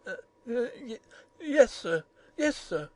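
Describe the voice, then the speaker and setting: A woman voicing an ignorant, tactless old male gardener in a storybook, hoarse and shaky, with halting Yorkshire dialect. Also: a little high, big pitch swings, no echo, full character voice. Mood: humbled. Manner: halting, rustic dialect speech.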